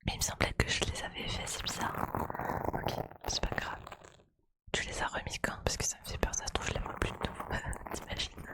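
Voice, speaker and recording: A woman speaks entirely in a soft, unvoiced whisper. She chats informally and playfully, like an ASMR streamer talking to viewers. The voice is breathy and close to the microphone. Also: brisk.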